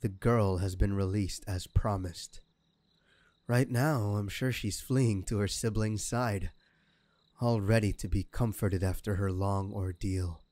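A vengeful male kidnapper acted by a man in an audio roleplay, speaking slowly in a mellow, close, dry voice. He is smug and mocking, drawing out his threats theatrically. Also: on the low side, steady tone, dry recording, natural voice. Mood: smug, courteous. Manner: mocking, theatrical, drawn-out delivery. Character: male villain crime kidnapper, smugly menacing and vengeful. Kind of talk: scripted ASMR audio roleplay.

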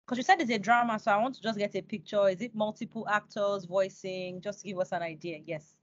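Fast-talking young woman interviewer. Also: medium pitch, steady tone, dry recording. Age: young adult. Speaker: host, interviewer. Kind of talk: conversational interviewing. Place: indoors, video call. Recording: dry, slightly dull video call.

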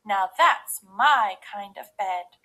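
A woman voicing a brash, fussy little girl in a storybook, with dramatic exclamations and drawn-out complaints. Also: medium pitch, lively intonation, bright, forceful tone, full character voice. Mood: delighted.

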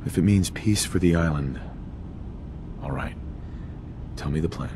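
A man's voice: sits low, unhurried, noisy background. An actor playing a stoic, reluctant male wasteland adventurer in a video game, terse and subdued. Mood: resigned, guarded. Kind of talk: video game dialogue acting. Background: music.

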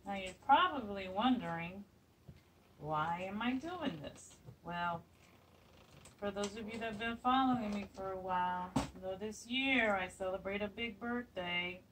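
A woman's voice: medium pitch, measured pace, a bit bright, recorded from a distance, a little hiss or hum, low-quality recording. A woman in her forties, chatty and upbeat with plenty of fillers, hosting a DIY craft tutorial in her home kitchen. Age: 40s. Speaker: craft channel host. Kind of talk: casual DIY tutorial chat. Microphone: phone camera a few feet away.

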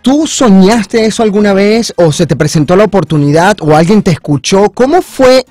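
A male radio host with a higher-than-average voice conducts a conversational interview. He sounds enthusiastic and repeats phrases for emphasis. The studio sound is close and dry. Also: lively intonation, moderate pace, a little mellow, no room sound, soft background music. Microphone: studio microphone on boom arm, headphones.

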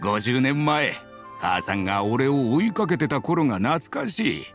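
A man playing a sentimental elderly village husband in an anime game, gently reminiscing. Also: medium pitch, sing-song, a little slow, faint music behind. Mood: fond, nostalgic. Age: older. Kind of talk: Japanese anime game voice acting.

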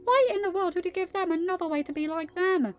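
A woman acts out a feisty, sarcastic teenage girl from a storybook, exasperated and emphatic. The voice leaps high above ordinary narration, on slightly muffled audio. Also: fast talker. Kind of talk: amateur story reading with dialogue. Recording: dull lo-fi audio, music behind.

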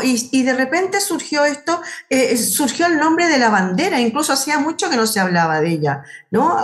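A woman in her sixties with a Chilean accent, her voice set a little high. She talks in the conversational way of an interview host, speaking from a room at home on a video call. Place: living room at home, indoors.

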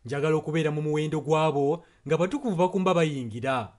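A man's voice: a little high, fast, darkish tone, slight background noise. A man interpreting a sermon and prayer solemnly, phrase by phrase. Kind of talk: consecutive translation of a sermon and prayer.

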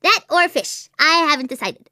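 A woman's voice: sits high, animated, moderate pace, bright, forceful tone, no echo, studio quality. A woman voicing an imaginative, anxious little girl in a video game, with an earnest, dramatic, childlike delivery. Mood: musing. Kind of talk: video game character voice acting.

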